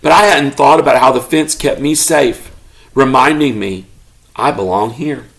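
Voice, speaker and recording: A man reading a children's story aloud expressively, as a parent does to a child, pausing to ask questions. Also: mid-range voice, creaky, a bit bright, poor audio. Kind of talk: expressive children's read-aloud, narrating as a pet dog.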